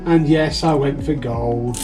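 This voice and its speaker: A man's voice: medium pitch, slow, over music. A British man hosting a casual vlog, chatting informally and playfully, often as if thinking aloud. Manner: informal, playful, talks to himself. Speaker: vlogger, livestream host.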